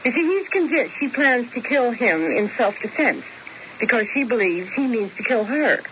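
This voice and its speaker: Actress as arch schemer, swooping intonation, old radio. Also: female voice, on the high side, lively intonation, normal speed, natural voice. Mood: arch, composed. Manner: arch, precise, theatrical phrasing. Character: arch, coolly scheming woman of refined, wordy speech. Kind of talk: 1970s radio mystery acting. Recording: muffled, hissy old radio recording.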